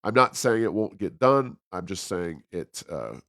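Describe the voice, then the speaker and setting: American male podcast commentator, lowish voice, measured and halting, very dry recording. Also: animated, fairly bright tone, dry recording. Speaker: podcast co-host giving political commentary. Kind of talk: conversational political commentary.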